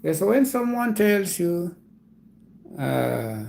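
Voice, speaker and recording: A man with a Nigerian accent hosting a comedy livestream, talking casually and explaining things slowly, repeating himself. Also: sits high, big pitch swings, creaky, darkish tone. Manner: slow, repetitive, lecturing explanations.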